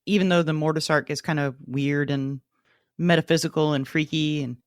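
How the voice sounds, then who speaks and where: A woman's voice: low-pitched, steady tone, moderate pace, no room sound. Woman podcast co-host, dry close sound. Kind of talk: casual book club discussion.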